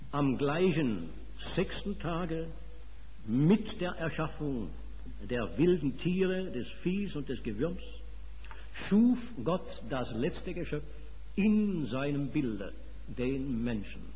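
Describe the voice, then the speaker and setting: A man from Switzerland with a Swiss German accent, preaching a sermon with emphatic, rising and falling delivery. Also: on the high side. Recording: phone-like, reverberant hall.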